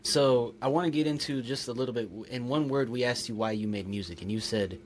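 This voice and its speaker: A man's voice: mid-range voice, a little fast, a little hiss or hum. Laid-back podcast host man. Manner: laid-back, calls the guest dude. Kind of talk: casual podcast interview.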